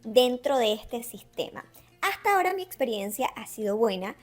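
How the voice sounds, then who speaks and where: A woman's voice: a little high, sing-song, normal speed, soft background music. An Ecuadorian woman from Ecuador chatting casually to camera, explaining with examples and speaking straight to the viewer. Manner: chatty, gives examples, addresses the viewer directly. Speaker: interviewee sharing her experience. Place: Barcelona.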